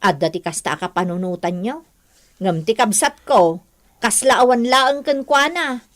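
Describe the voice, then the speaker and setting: A woman's voice: a little high, lively intonation, unhurried. A woman with an Ilocano accent acting a talkative, frank elder sister in a radio drama. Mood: approving, frank.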